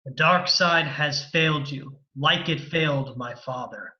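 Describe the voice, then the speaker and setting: Guy as an older ghostly mentor, low slow solemn voice, sad and grave, bit dull recording. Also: bright, forceful tone. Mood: grave reproach. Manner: solemn, measured. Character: grave, sorrowful older male Jedi master's ghost. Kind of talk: sci-fi audio drama acting.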